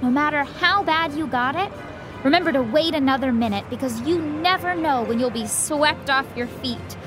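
An actress playing a starry-eyed young newcomer to the city, gushing in a dreamy sitcom-style monologue. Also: female voice, high-pitched, moderate pace, close to an everyday voice. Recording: prominent music bed.

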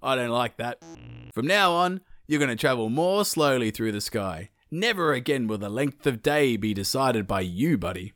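A man's voice: medium pitch, lively intonation, normal speed, no room sound, close to an everyday voice. Man putting on a male demigod leader, commanding, raised voice, dry close sound. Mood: firm. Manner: commanding, urgent yelling. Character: bold, determined male demigod hero, leader of his brothers.

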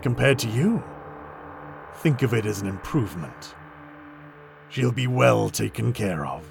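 Guy doing a smug villain soldier, male, menacing, lots of swoop in the voice, slow, audio drama. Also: lowish voice, expressive, creaky, over music, exaggerated voice. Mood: smug menace. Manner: condescending, deliberate.

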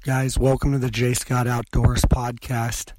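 A hoarse man who has nearly lost his voice, talking outdoors on a mountainside. He tells his story and draws lessons from it in a steady, instructive way. His delivery stays flat and even, with a dry sound. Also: mid-range voice, a little slow, dry recording. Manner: instructive, recounting and drawing lessons. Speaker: hunting podcast host. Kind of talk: casual outdoor storytelling and advice.

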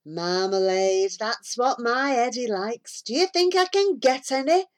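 A woman's voice: on the high side, expressive, measured pace, voice pushed up. A woman reading a story aloud, voicing a grumbling, gossipy village housewife.

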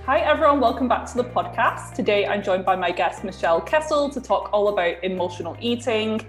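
Twenty-something woman hosting a podcast, relaxed chatty interviewer, roomy echo. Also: medium pitch, brisk, soft background music. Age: 20s. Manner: clear scripted intro, then chatty with fillers. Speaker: podcast host. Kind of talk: podcast intro and interview chat.

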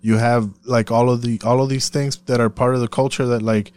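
A man's voice: mid-range voice, level tone, a little fast, a little mellow, smooth. A man from Puerto Rico in a casual, profane discussion, speaking emphatically and often circling back to repeat himself.

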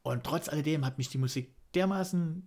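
A man's voice: fairly high pitch, fairly quick, darkish tone. A middle-aged man chatting casually as a podcast co-host, often ending statements with a little questioning tag. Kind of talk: casual chat.

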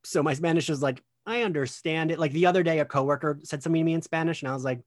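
A woman's voice: low-pitched, rapid-fire, no room sound, clean studio sound. A woman chatting casually on a podcast, telling stories and laughing often as she talks. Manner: laughs often, self-deprecating storytelling. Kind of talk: casual chat.

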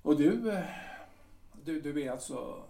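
An actor playing an embittered, aging army captain who talks in halting, hesitant phrases in an audio drama. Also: male voice, fairly high pitch, expressive, gravelly, mellow, recorded from a distance. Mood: gloomy. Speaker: husband in a radio play. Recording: roomy echo, from off the mic.

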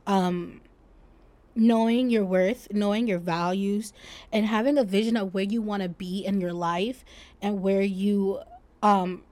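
A woman's voice: medium pitch, fairly even intonation, unhurried, darkish tone. A twenty-year-old woman hosting a solo podcast, talking casually and reflectively in an informal, rambling way. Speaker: podcast host.

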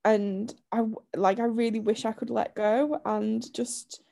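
A woman co-hosting a podcast in casual chat, her voice croaky from a lingering chest illness, talking chattily with frequent laughs and restarts. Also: a little high, flat delivery, unhurried, dark tone. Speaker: podcast co-host.

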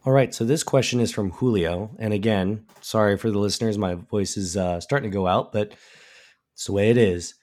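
A man's voice: fairly low pitch, brisk, a little mellow. A man from Alabama co-hosts a casual podcast while fighting a cold, his voice thick with congestion and starting to go. He talks in a loose, rambling way, often stopping to restart a phrase with "uh" and "um.". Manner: casual, many ums and restarts. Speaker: woodworking podcast co-host.

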